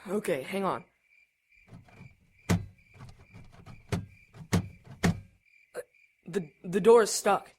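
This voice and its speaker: A woman's voice: medium pitch, lively intonation, unhurried, heavy background noise, natural voice. Anxious, earnest teenage brother played by a woman. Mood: worried, awkward. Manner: hesitant, urgent, literal-minded. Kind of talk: audio drama acting.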